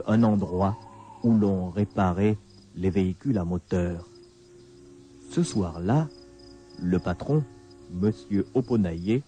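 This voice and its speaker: A man narrating a scripted radio drama as storyteller, measured and deliberate, with dramatic pauses. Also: low voice, mellow. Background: music, night insects.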